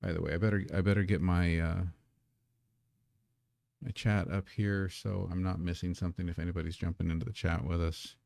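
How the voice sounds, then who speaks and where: A low-voiced man with a gravelly edge, a native English speaker teaching Korean in a live stream. He talks casually and modestly and often repeats a word while he gets set up. His voice sounds dry and close. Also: little pitch movement, brisk, darkish tone, no room sound. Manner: casual, self-deprecating, repeats words while setting up. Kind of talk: live-streamed language lesson.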